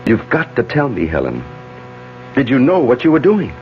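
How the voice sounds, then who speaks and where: An actor playing an authoritative, controlled doctor in a 1970s radio mystery, with a commanding, deliberate manner. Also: male voice, medium pitch, huge pitch swings. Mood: tense, questioning. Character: authoritative, controlled male doctor managing a crisis. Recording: dull 1970s radio broadcast with music.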